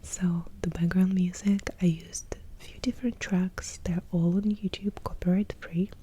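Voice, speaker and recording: A young adult woman from Russia, speaking in a hushed, breathy half-whisper as she answers viewer questions in a soft-spoken ASMR video, very close to the mic. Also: on the low side, fairly even intonation, moderate pace, a little hiss or hum. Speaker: ASMR creator. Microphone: close sensitive ASMR mic.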